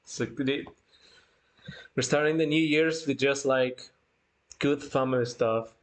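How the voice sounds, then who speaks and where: A livestream host chatting in an excited, gushing, giggly way while reacting to a game. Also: medium pitch, unhurried. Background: game music.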